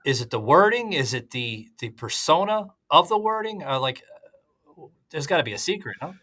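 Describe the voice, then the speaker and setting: A man's voice: on the high side, sing-song, normal speed, pushed and bright. A man hosting a podcast interview, asking casual, rambling questions. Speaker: podcast host.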